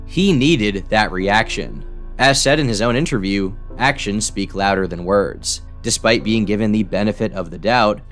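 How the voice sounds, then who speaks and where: A man in scripted documentary narration, a YouTube commentator with a blunt, profane, sardonic way of talking. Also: fairly low pitch.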